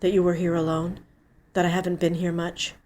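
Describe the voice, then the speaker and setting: A woman voicing a guarded, guilt-ridden younger sister in a story reading, in a careful, measured tone. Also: on the low side, little pitch movement, a little mellow, close to an everyday voice. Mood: subdued, guilty. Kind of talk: audiobook character dialogue.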